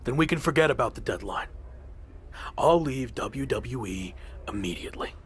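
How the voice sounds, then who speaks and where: A man's voice: mid-range voice, lively intonation, moderate pace, slight background noise, lightly acted. A man playing a determined underdog wrestler in a video game cutscene, speaking earnestly.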